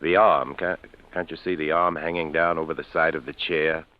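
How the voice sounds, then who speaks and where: An actor playing an earnest, insistent man with a measured, polite, slightly hesitant manner in a vintage radio drama. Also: rumbling low, flat delivery. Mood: puzzled, insistent. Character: earnest, insistent stage actor worried by what he saw. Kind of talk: 1940s-50s radio drama acting. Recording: muffled vintage radio recording.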